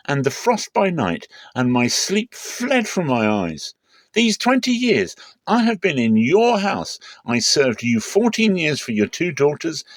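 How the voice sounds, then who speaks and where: A man's voice: fairly high pitch, dry recording, pitched above the performer's own voice, full character voice. A man voicing an aggrieved, hard-working herdsman in a dramatic scripture reading, protesting with impassioned, declamatory delivery.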